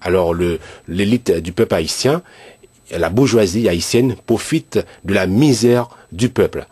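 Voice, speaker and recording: A man who is a pastor, from Haiti, speaking earnestly and in a measured way about his country. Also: fairly low pitch, a little mellow, breathy edge, no echo. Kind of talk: earnest commentary.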